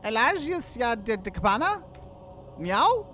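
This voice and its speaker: A man voicing an eerie unseen creature in a cabinet, speaking halting, drawn-out foreign phrases for a horror game. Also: extremely high pitch, very animated, bright, forceful tone, slight background noise, voice pushed up, full character voice. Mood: eerie, urgent.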